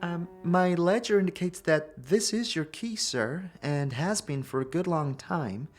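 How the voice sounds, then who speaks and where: A woman's voice: on the low side, measured pace, soft background music. A woman playing a polite, hesitant young clerk in a fantasy audio drama. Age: young adult.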